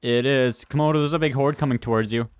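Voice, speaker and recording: A man's voice: medium pitch, steady tone, fast talker, smooth. A man talking casually with friends while they play a multiplayer video game. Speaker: gamer playing with friends. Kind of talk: casual multiplayer gaming chat.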